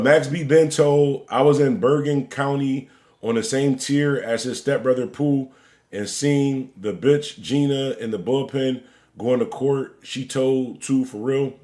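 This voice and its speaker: Animated man in his forties, rambling. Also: mid-range voice. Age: 40s. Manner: rambling, joking, repeats himself; "bro" and "gang" at line ends. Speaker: stream host. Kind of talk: casual live-stream commentary.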